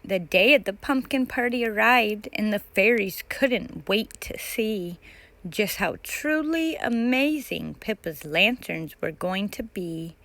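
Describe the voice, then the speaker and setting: Slow-paced woman reading a children's story. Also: medium pitch, expressive, creaky, a bit bright, no echo. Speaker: storytime host reading a picture book. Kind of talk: read-aloud children's story narration.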